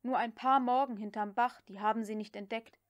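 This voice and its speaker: A woman's voice: a little high, fairly even intonation, brisk, highs cut off, close to an everyday voice. A woman voicing a deferential, anxious male house slave in an audiobook, proud but tactful, with a plaintive way of protesting. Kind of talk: audiobook character dialogue.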